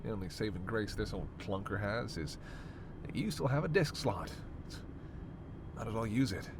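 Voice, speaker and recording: A man's voice: lowish voice, animated, normal speed. Man acting snarky vampire over road noise. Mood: smug, defensive. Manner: sarcastic, needling, theatrically exasperated, foul-mouthed. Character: snarky, teasing immortal male vampire passenger. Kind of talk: one-sided roleplay audio drama.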